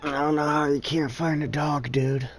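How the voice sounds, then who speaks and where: A man's voice: fairly high pitch, flat delivery, normal speed, noisy background. A man in his thirties chatting casually while streaming a game, rambling, profane and exasperated. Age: 30s. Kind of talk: casual chat.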